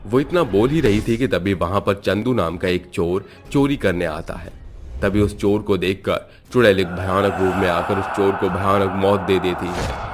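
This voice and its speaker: A man's voice: low voice, moderate pace. A man narrating a scripted horror moral story as a storyteller. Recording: music behind the voice.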